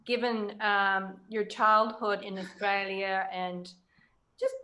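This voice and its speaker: A woman interviewing in a conversational way, reflective and pausing to choose her words. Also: mid-range voice, level tone, pushed and bright, rough recording. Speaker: interviewer, writer.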